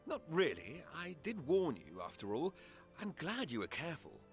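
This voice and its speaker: An actor playing a secretive elf mage in a video game, suave, arch and evasive. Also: male voice, a little high, wildly swooping pitch, moderate pace, creaky, soft background music, exaggerated voice. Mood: coy. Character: sly, secretive male elf court mage. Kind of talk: video game cutscene acting.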